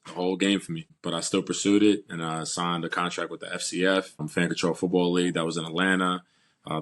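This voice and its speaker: A young man in his twenties from New York, a football player, answering interview questions in a calm, steady way. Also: low-pitched. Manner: calm, steady storytelling with "uh" and "you know".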